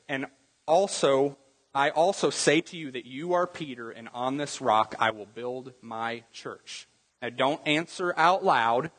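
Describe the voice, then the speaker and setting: Husky-voiced male preacher in a reverberant hall. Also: medium pitch, a bit bright. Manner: conversational teaching, quizzing the congregation with rhetorical questions. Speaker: pastor. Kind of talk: sermon.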